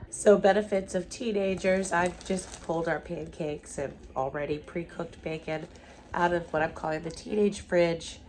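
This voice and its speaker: A middle-aged woman with a hoarse, strained voice talks cheerfully into her handheld phone, narrating as she goes. She records in a hotel room, and a faint hum sits under her voice. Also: lowish voice, darkish tone. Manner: chatty, upbeat running commentary. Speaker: vlogger, mother of a large family. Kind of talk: casual vlog narration while cooking.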